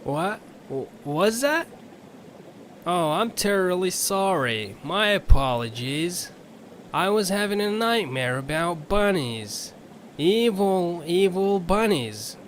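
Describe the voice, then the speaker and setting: A courtly southern ferryman creature for an audio drama, slow drawl, voice swings up and down. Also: high-pitched, big pitch swings, slight background noise, full character voice. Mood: flustered, apologetic. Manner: courteous, apologetic, genteel. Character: polite, skinny green creature, folksy ferryboat captain.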